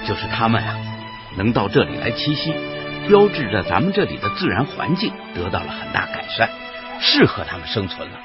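An actor playing a genial, knowledgeable wildlife conservation official in a radio drama, explaining things in an expressive way. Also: male voice, on the low side, brisk. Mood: earnest, enthusiastic. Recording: dull radio drama with clear music behind.

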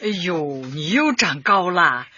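A woman's voice: lowish voice, sing-song, a little slow, sharp tone, husky, exaggerated voice. A woman playing a kindly, doting elderly great-grandmother in a radio drama, who often repeats her words. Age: older. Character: kindly elderly great-grandmother, beloved community party secretary. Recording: dull lo-fi broadcast with faint noise.

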